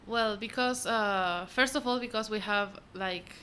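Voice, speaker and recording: Young woman, Argentinian accent, native Spanish speaker, bright forward voice, halting guest delivery, faint room noise. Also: medium pitch. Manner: halting, searching for words. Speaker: interview guest, volunteer. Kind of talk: casual interview answers.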